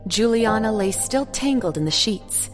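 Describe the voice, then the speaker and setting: A woman's voice: fairly high pitch, lively intonation, moderate pace, over music. A woman reading scripted narration for an audio drama.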